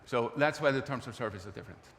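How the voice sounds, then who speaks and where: A man with an Icelandic accent and a mid-range voice addresses a conference panel. His phrasing is measured and halting, with dry asides. The hall adds long reverb and faint noise. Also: medium pitch, fairly bright tone. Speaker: panelist from the games industry. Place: large conference event hall.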